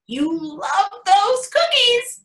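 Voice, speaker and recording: A woman's voice: extremely high pitch, very slow, full character voice. A woman acting a wry, blunt, seasoned mother of a bride in a readers theatre play, with knowing, emphatic delivery. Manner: emphatic, knowing, deadpan punchlines. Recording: echoey room.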